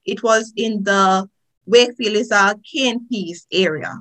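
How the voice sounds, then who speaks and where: A woman with a Jamaican accent speaks as a podcast guest. Her voice is bright and forward. She tells her story in a loose, chatty way, full of little fillers. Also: medium pitch, a little slow. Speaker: guest, tax lawyer. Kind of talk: podcast interview.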